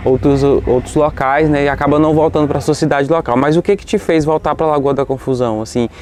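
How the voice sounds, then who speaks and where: A man's voice: fairly high pitch, fairly even intonation, fast, a little mellow, slight background noise. Brazilian man hosting a casual interview. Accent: Brazilian Portuguese. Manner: friendly, informal questioning. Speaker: interviewer, channel host.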